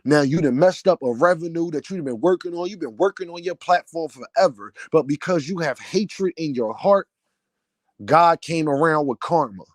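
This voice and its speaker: Loud, heated man ranting with a deep voice. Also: lively intonation, moderate pace, no echo. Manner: loud, heated, preaching cadence. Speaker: vlogger, channel owner. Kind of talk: passionate rant to camera.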